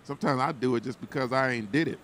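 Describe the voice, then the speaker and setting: A young man answering questions on a city sidewalk at night into a handheld mic, playful and animated. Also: mid-range voice, fast talker, slight background noise. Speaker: passerby interviewee. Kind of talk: casual chat.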